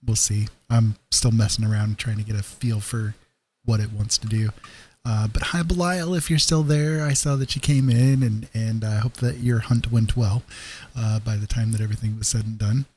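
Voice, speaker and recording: Mellow, easygoing man chatting on a stream. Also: mid-range voice. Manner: friendly, rambling, relaxed. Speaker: livestream host. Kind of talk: casual chat on a livestream.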